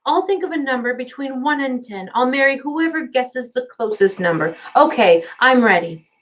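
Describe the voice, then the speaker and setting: A woman doing a high, dithering teenage girl. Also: animated, normal speed, fairly bright tone, a little hiss or hum. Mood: ditzy, undecided. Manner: hesitant, wavering. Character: dithering, naive fifteen-year-old village girl.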